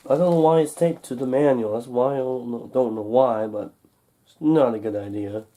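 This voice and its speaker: A young man with a slightly congested, cold-recovering voice talks casually and haltingly, muttering to himself as he hosts an unboxing. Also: mid-range voice, mellow. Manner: halting, muttering to himself while handling packaging. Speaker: unboxing video host. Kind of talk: casual unscripted product unboxing talk. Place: indoors at home, over a table. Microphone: camcorder microphone close above the table.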